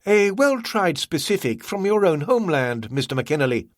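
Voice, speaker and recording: A man voicing a cold, contemptuous male merchant who speaks slowly and formally. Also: fairly high pitch, sing-song, no echo, studio quality, voice pushed up. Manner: slow, clipped, coldly formal.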